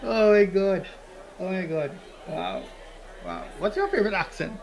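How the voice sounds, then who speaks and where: A man's voice: high voice, lively intonation, unhurried, lo-fi sound, noisy background. A young man co-hosting a podcast who throws in blunt, teasing quips. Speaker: podcast co-host. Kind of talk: casual chat.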